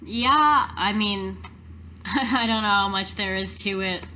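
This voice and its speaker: A woman's voice: medium pitch, fairly even intonation, slow pace, pushed and bright, a little hiss or hum. A woman playing a tabletop game, improvising casually in character.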